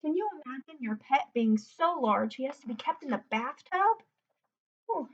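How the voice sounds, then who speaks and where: Female storybook reader, higher-pitched, simple explanatory asides for kids, slightly dull lo-fi home recording. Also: lively intonation, moderate pace. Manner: explains simply, as to young children. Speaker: read-aloud host. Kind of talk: picture book read-aloud with chatty asides. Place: indoors at home, sitting on the floor.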